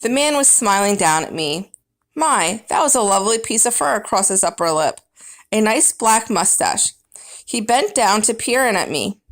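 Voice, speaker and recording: A woman's voice: mid-range voice, animated, moderate pace, a bit bright. A woman reading a children's book aloud as first-person narrator, with dramatic, emphatic storybook phrasing.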